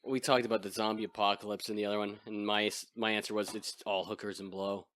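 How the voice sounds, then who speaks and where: Deep-voiced man joking crudely on a podcast. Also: little pitch movement, quick pace, fairly bright tone, no echo. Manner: joking, crude, self-deprecating. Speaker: podcast host. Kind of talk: casual chat over beers.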